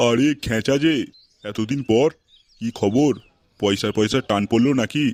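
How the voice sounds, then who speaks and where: A man's voice: medium pitch, normal speed, lightly acted. A man voicing a crude, gossipy building-site foreman in an amateur comedy cartoon, blunt and chummy. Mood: teasing. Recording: noisy amateur recording.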